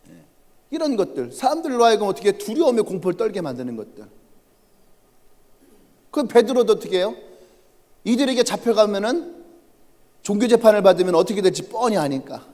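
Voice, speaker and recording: Animated pastor with a Chungcheong accent. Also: male voice, high voice. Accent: Chungcheong Korean. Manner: animated, humorous storytelling, asks the congregation questions. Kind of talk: sermon. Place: church sanctuary. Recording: reverberant church sanctuary.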